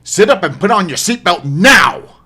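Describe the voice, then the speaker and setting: A man voicing a hard-bitten, short-tempered male survivor in an audiobook, husky and barking out commands. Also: high-pitched, sing-song, moderate pace, bright, forceful tone, voice pushed up, full character voice. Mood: furious.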